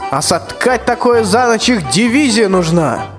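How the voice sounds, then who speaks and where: An actor playing a pompous, threatening old tsar in a rhymed fairy-tale radio play. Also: male voice, sits high, lively intonation, unhurried. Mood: grudging, scornful. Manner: pompous, colloquial rhymed speech. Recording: long hall reverb, music behind the voice.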